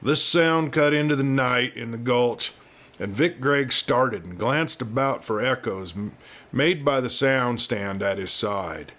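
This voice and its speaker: A man telling a story as host and narrator, in a folksy, measured manner. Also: medium pitch, animated, fairly bright tone. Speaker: storyteller host reading a western novel. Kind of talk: folksy scripted audiobook narration.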